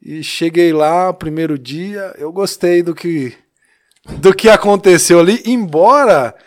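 A man in his late thirties with a Mineiro accent, from Minas Gerais. He tells his story in a loose, chatty way, laughing easily and adding "né?" as he goes. His voice sits slightly high for a man. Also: unhurried. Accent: Mineiro Brazilian Portuguese. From Minas Gerais, Brazil. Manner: chatty, laughs often, many "né?" tags. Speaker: church youth leader, podcast guest. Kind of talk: casual storytelling chat.

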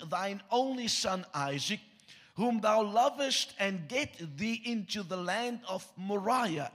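Afrikaans-accented preacher guy, husky and kinda high voice, cracks jokes then reads scripture. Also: fairly bright tone. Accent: South African Afrikaans. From South Africa. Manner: joking, self-deprecating asides. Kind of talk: sermon with scripture reading.

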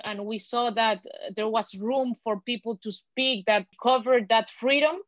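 A woman's voice: a little high, a bit bright, dry recording, muffled recording. Hesitant Chilean woman guest. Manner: hesitant, many restarts and uh's. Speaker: podcast guest. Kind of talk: casual interview answers.

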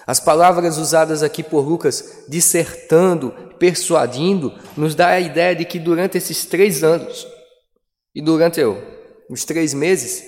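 High-voiced male preacher in a reverberant hall. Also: darkish tone. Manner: preaching with dramatic pauses and acted quotes. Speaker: pastor. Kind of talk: sermon.

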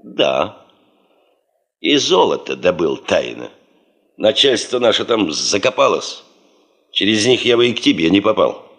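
A man's voice: fairly low pitch, big pitch swings, unhurried, pauses often, a bit bright, heavily acted. An actor in a Soviet radio play as a driven, stubborn male geologist chief, terse, insistent and commanding.

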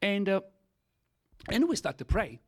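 A man whose first language is Italian, speaking English with an Italian accent as he gives a church testimony in halting speech full of fillers. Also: high voice, wildly swooping pitch, breathy edge. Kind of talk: testimony sermon.

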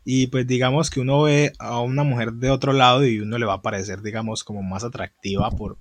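A Colombian man with a Colombian accent chats easily as a podcast guest and laughs often. His voice is mid-pitched and the sound is dry. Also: steady tone, brisk, dry recording. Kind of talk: casual chat.

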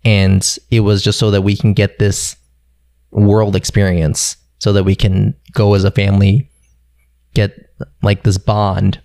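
Gravelly laid-back young man. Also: on the low side, completely flat delivery, a little slow, mellow, no room sound, clean studio sound. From Philadelphia. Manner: laid-back, swears casually, rambling. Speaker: guest. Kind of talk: casual conversation.

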